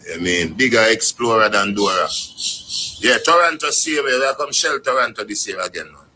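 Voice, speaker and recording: A man with a Jamaican accent giving relaxed, rolling shout-outs to fans in casual patois on a live stream. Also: fairly low pitch, a little fast, a little hiss or hum, rough recording.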